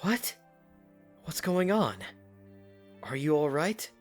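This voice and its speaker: A man playing a groggy, awkwardly shy young knight in a fan audio drama, giving halting, uncertain replies. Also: a little high, expressive, soft background music. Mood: confused, concerned.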